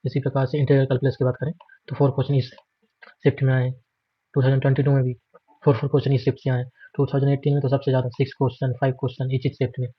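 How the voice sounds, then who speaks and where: A man's voice: medium pitch, monotone, racing, breathy edge, dry recording, highs cut off. An Indian man giving an informal, matter-of-fact exam prep walkthrough, listing items repetitively and mixing in English terms. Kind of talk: informal screen walkthrough lesson.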